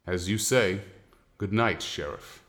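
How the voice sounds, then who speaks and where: An actor playing a steady, wry, protective husband in a colonial period audio drama, with measured old-fashioned phrasing. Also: male voice, low-pitched.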